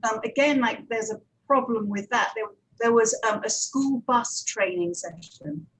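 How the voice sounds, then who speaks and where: A woman's voice: a little high, a little slow, a bit bright, breathy edge, low-quality recording. A woman giving an informal panel presentation as an audio producer, talking conversationally with anecdotes and digressive asides.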